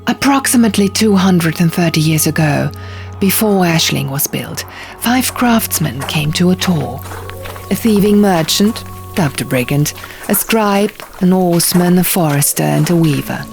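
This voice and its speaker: A woman voicing an earnest young man who recounts dark ancient lore in an audiobook, measured and theatrical, pausing for effect. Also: lowish voice, animated, gravelly. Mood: solemn storytelling. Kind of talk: audiobook character dialogue. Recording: music bed under the reading.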